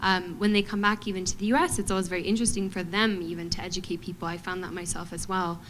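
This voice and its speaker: A young adult woman from Montana, a ballet artist answering on a panel in a thoughtful, measured way with frequent pauses and fillers. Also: lowish voice, level tone, fairly bright tone, slight background noise, poor audio. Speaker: guest artist, ballet dancer.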